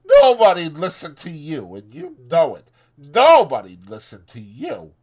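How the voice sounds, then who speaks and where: A man's voice: fairly high pitch, wildly swooping pitch, a little slow, a little mellow, pitched above the performer's own voice, heavily acted. A man voicing a spiteful, threatening young ranch wife in rural dialect for a dramatized audiobook. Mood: menacing.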